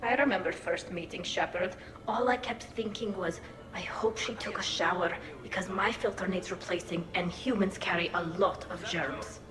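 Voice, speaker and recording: A woman's voice: on the low side, fairly even intonation, moderate pace, natural voice. An actress playing a candid, quirky young alien woman in a video game, chatty, with an echo on her voice. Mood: amused, candid. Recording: echoing voice under music.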